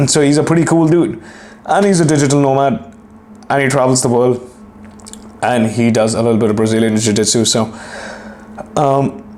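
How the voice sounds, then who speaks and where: A hoarse young man hosting a solo fitness podcast, rambling and swearing casually while clearing his throat. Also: medium pitch, a little mellow, a little hiss or hum. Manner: profane, rambling, self-deprecating. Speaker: podcast host and fitness coach.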